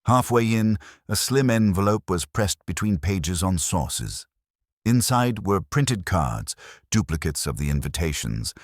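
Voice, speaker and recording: A man's voice: sits low, a little mellow, no echo, studio quality. A man reading a first-person detective story as an audiobook narrator.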